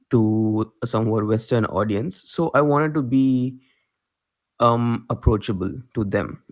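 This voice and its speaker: Young man, Indian accent, relaxed guest speaker, mid-range voice. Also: mid-range voice. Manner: relaxed, laughs easily, loose filler-heavy phrasing. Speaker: guest musician. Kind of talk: casual interview talk.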